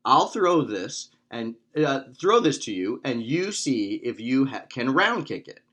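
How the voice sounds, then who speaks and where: A man voicing a playful, excitable young boy student in a children's storybook read-aloud. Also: mid-range voice, unhurried. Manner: eager, playful.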